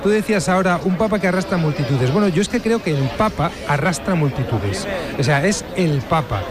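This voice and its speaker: A man giving reflective radio commentary, measured and emphatic, pausing to find words. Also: a little high, expressive, crowd noise.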